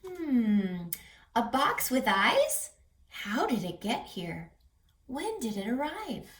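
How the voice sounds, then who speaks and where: A woman reading aloud to children as a reading teacher, expressive and teacherly, explaining and asking questions. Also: on the high side, slow. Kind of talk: children's read-aloud with questions to young listeners. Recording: echoey room, distant mic, music.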